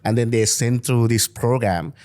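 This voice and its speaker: Halting man from Sri Lanka, full of fillers. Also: medium pitch, a little mellow. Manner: halting, many fillers like "you know" and "like". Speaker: guest, founder. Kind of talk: casual interview talk.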